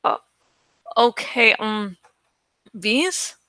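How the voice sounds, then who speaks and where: A woman reading a gentle, slightly pouty teenage girl in a storybook, with an earnest, tentative manner. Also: medium pitch, very animated, very slow, no room sound, exaggerated voice, low-quality recording.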